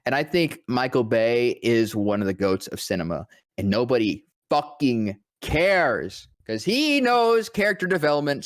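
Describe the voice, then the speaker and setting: A man's voice: fairly high pitch, no room sound, clean studio sound. A man co-hosting a casual podcast, talking like an enthusiastic fan with plenty of slang. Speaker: podcast co-host. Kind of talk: casual chat about films.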